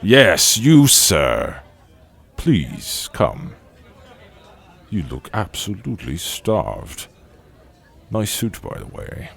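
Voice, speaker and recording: An actor playing a wealthy, jovial and silkily sinister banquet host in an audio drama, with grandiose, savoring delivery. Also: male voice, wildly swooping pitch, very slow, pauses often, a bit bright, some chatter behind, full character voice. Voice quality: deep, gravelly.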